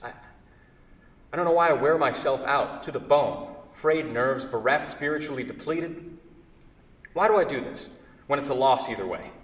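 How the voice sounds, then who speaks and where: A man's voice: medium pitch, fairly bright tone. In a reverberant hall, away from the mic, a man acts an anguished craftsman devoted to what he has built. He is wordy and fretful and keeps amending his own phrases, in the style of a staged theatre reading. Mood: anguished, pleading. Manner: wordy, self-correcting, fretful. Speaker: actor in a staged play reading. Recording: echoey hall, far from the mic.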